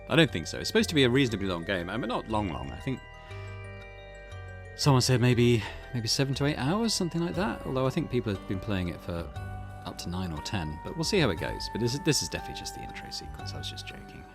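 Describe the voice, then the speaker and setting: Man doing lively video game commentary. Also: fairly low pitch, fast talker, music in the background. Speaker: gaming video host. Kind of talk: let's play commentary with read-aloud narration.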